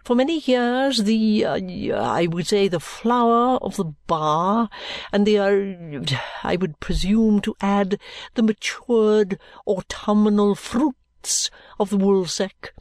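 Woman acting a pompous, slow-spoken gentleman lawyer. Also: medium pitch, no room sound, clean studio sound. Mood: grandiose, severe. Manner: orotund, drawn-out, pompous, self-satisfied speech. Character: pompous, condescending senior male lawyer, grandly dignified.

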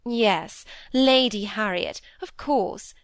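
A woman's voice: medium pitch, takes it slowly, no echo, clean studio sound, natural voice. A woman voicing an affected, fussy middle-aged stepmother who pities herself, in an audiobook reading. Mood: gushing. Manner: affected, gushing, fluttery.

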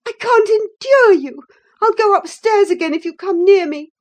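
A woman voicing a scornful, haughty young widowed lady in an audiobook, imperious and biting, quick to rage. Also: high voice, animated, dark tone. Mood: disgusted, angry. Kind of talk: dramatised audiobook reading of a classic novel.

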